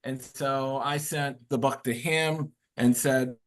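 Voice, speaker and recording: A man's voice: medium pitch, fairly even intonation, slow pace. A man who is a guest author, talking casually on a video call, rambling with many fillers and restarts. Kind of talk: casual webinar talk.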